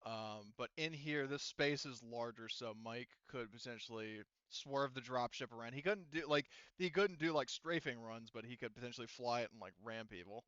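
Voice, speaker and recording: A man acting as game master for a tabletop roleplaying game. His voice is bright and clean, and he works through rules aloud with stops and starts. The sound is close and dry, if slightly dull. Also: mid-range voice, clear, resonant tone, no echo. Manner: halting, thinking aloud while checking rules. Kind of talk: running a tabletop roleplaying game, casual improvised explanation.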